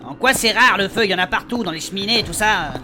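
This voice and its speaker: A woman's voice: medium pitch, fast, music in the background, natural voice. A woman playing a cheeky, nervous male adventurer in a French audio fiction, blurting in coarse slang. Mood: curious.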